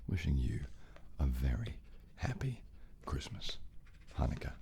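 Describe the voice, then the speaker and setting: A man delivers a soft ASMR greeting in a very deep voice. It is heavy with fry and swoops up and down, mellow and half whispered. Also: sing-song, measured pace, slight background noise.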